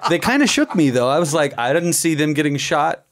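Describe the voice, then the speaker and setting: A man's voice: fairly high pitch, very animated, fairly quick, a loud crowd. A man co-hosting a casual movie podcast, joking and acting out lines from characters. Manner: jokey, acts out quotes from characters. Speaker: podcast co-host. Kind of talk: casual movie recap chat.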